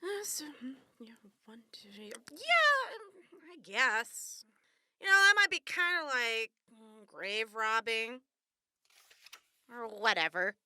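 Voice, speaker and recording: A woman's voice: fairly high pitch, sing-song, slow, bright, forceful tone, heavily acted. A woman playing a blunt, foul-mouthed young woman raised among wasteland raiders in a radio drama. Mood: hesitant, muttering. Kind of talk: post-apocalyptic audio drama acting.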